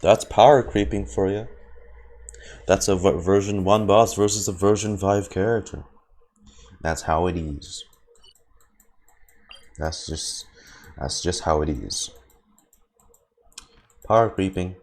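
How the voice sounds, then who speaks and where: A man's voice: sits low, takes it slowly, pauses often, a little mellow. A man giving casual live commentary as a game streamer, rambling and repeating his words and phrases. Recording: game music behind the voice.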